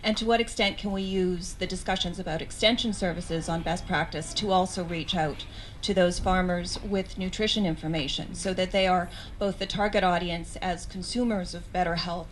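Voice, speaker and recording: A woman speaks from the conference floor on behalf of a coalition. Her voice is low and measured, her phrasing articulate. Faint hall noise sits behind her. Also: steady tone. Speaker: coalition representative. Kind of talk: audience question at a conference.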